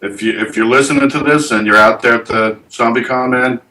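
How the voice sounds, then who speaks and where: A man's voice: medium pitch, fairly even intonation, brisk, pushed and bright, slight background noise. A man in his forties, a band guest in a casual interview, earnest and rambling with fillers. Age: 40s. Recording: echoey room, distant from the mic.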